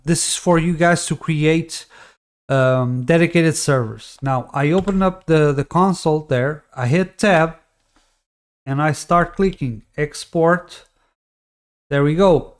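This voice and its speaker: Brief: Portuguese-native man, slightly higher voice, loose rambling tutorial delivery. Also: clear, resonant tone. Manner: relaxed, rambling, frequent fillers and restarts. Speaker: tutorial host. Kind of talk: casual screen-recorded game tutorial.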